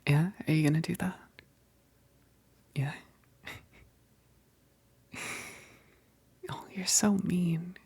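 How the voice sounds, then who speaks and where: A woman acts out a female vampire best friend for an intimate ASMR roleplay. Her voice is a low, breathy whisper with a gravelly crackle. She speaks slowly and hesitantly, affectionate and teasing, with a gentle wistfulness underneath. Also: fairly even intonation, full character voice. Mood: playful pleading. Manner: slow, hesitant, punctuated with soft chuckles.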